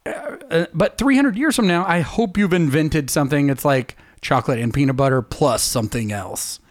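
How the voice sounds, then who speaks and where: A man's voice: a little high, expressive, moderate pace, no echo. Chatty middle-aged man, dry close sound. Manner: chatty, laughs easily, drifts into stories. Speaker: podcast co-host and musician. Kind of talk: casual chat.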